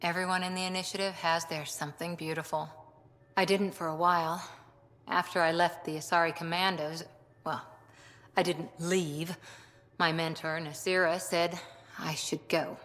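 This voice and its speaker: An actress playing an earnest former commando who longs to belong, frank and reflective in short clipped phrases, in a video game. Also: female voice, lowish voice, flat delivery, moderate pace, fairly bright tone, close to an everyday voice. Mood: wistful, hurt. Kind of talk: video game dialogue acting. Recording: long reverberant hall sound.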